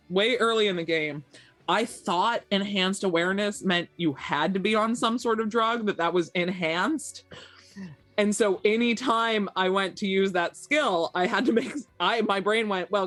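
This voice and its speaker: A woman's voice: medium pitch, moderate pace, a bit bright, dry recording, faint music behind. An American woman talking casually and candidly, with some swearing, in a debrief after a tabletop roleplaying game.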